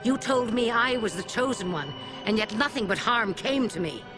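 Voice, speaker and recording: An indignant chosen woman of legend acted out by a male storyteller, in a raised, high voice. She sounds wronged and outraged, in a video game tale with music underneath. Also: animated, normal speed.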